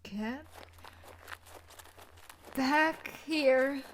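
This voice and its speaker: A woman playing an obsessive, possessive young scientist in an audio roleplay, with breathy, coaxing threats and pleading. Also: sits high, extremely slow, a little hiss or hum. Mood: frantic, angry. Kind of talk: audio roleplay acting addressed to the listener.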